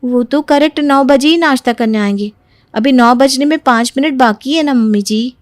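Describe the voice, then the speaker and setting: A woman voicing a young, exasperated daughter-in-law who argues back, in an animated family moral story. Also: sits high, normal speed, no room sound, studio quality, close to an everyday voice. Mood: pert. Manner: pert, aggrieved, arguing.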